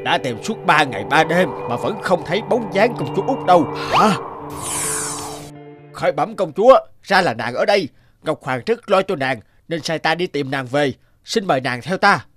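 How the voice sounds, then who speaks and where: A man's voice: fairly high pitch, animated, moderate pace, close to an everyday voice. A man plays a celestial guard in a cartoon. He is dutiful and firm, speaking formally and insistently over a music bed.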